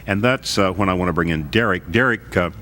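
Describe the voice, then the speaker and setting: Deep-voiced man hosting a faith talk show. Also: fast, a little hiss or hum. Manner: earnest, warmly enthusiastic questioning. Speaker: television host.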